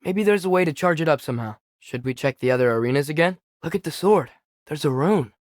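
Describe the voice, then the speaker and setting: A man's voice: fairly high pitch, expressive, fast, no room sound, clean studio sound, lightly acted. A young man playing an eager, earnest, curious teenage boy, a companion in a video game, fighting beside his father.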